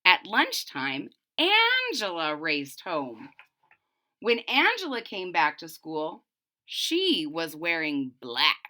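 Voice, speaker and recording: A woman's voice: fairly low pitch, wildly swooping pitch, takes it slowly, pushed and bright. A woman reading a children's storybook aloud expressively and explaining it to young listeners in asides. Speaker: storybook reader.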